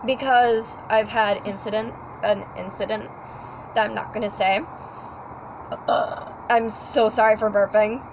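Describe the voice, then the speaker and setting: A woman's voice: mid-range voice, fairly even intonation, unhurried, long pauses, noisy background. A teenage girl chatting casually about school, rambling with lots of fillers and apologies. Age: teens. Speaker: student co-host. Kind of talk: casual chat.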